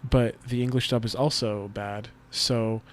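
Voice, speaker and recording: A man in his mid-twenties talks through a casual podcast conversation. He rambles easily, filling gaps with ums and likes. His voice sits at an ordinary middle pitch, and his jokes run crude. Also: steady tone, a little slow. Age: 20s. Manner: laid-back, filler-heavy, crude joking. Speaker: podcast co-host. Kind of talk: casual chat.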